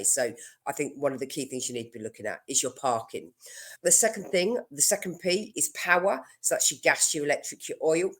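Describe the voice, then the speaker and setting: A woman mortgage broker with a stuffy nose from a cold, chatting casually and explaining her advice with everyday examples. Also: rumbling low.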